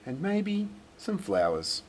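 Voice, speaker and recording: A man acts out an encouraging, unflappable mum from a picture book. The pitch sits well above his usual voice and lilts up and down, with some noise behind. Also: wildly swooping pitch, slow, heavily acted. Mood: breezy, encouraging. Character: cheerful, encouraging, unflappable mother. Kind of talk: storybook character voice.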